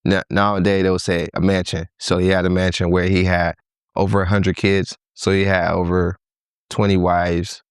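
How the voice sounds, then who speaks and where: Low-voiced relaxed man from Liberia. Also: normal speed, clear, resonant tone, dry recording, studio quality. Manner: laid-back storytelling, laughs easily. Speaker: podcast guest. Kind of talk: casual interview conversation.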